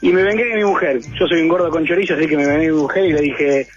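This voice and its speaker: A man's voice: a little high, very fast, lo-fi sound, over music. A man with an Argentine Rioplatense accent, telling a story on a radio show. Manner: anecdotal storyteller.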